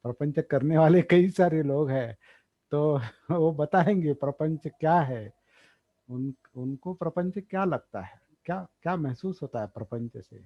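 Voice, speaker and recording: A man gives a dharma talk, thinking aloud, pausing often and repeating key words. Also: fairly high pitch. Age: 60s. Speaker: meditation teacher. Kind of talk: slow explanatory dharma talk. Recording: dull lo-fi recording.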